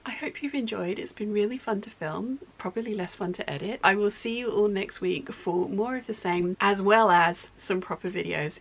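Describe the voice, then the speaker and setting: A talkative woman vlogs from her home sewing studio, wandering off track and correcting herself as she goes. Her voice is starting to go hoarse, with a gravelly fry creeping in. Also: mid-range voice. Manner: rambling, self-correcting, talkative. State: voice going hoarse. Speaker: sewing vlogger. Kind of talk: casual chatty vlog.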